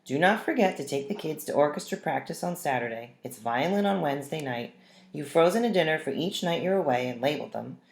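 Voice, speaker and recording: A woman's voice: sits low, lively intonation, fairly quick, vocal fry, slight background noise. A woman voicing an organized, suspicious mother in a storybook, listing instructions firmly and emphatically. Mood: brisk, suspicious. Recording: roomy, echoing room sound.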